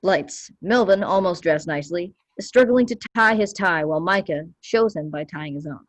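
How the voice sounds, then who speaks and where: A woman reading stage directions as scripted narration, measured and declarative. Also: lowish voice.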